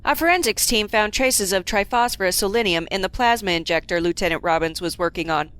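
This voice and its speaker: A woman's voice: mid-range voice, a little fast, a bit bright, clean tone, natural voice. An actress playing an efficient female first officer who reports in a formal way.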